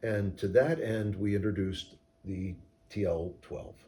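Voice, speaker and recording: A man giving measured, technical, explanatory answers in an interview as a company co-founder. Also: lowish voice, steady tone, dark tone. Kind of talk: interview answers about product design.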